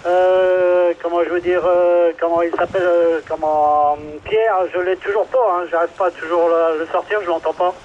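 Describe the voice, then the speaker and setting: A French man with a high-pitched voice talks haltingly over CB radio, searching for words in a casual exchange. The sound is dull, with faint noise. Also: flat delivery. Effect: CB radio transmission. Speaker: amateur CB radio operator.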